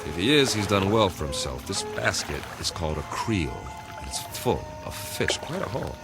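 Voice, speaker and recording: A very deep, commanding spaceship captain, speaks in a measured, musing way. He is a steady leader in a science fiction radio play, with clear music playing behind him. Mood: commanding, calm. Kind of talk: dramatic science fiction audio drama.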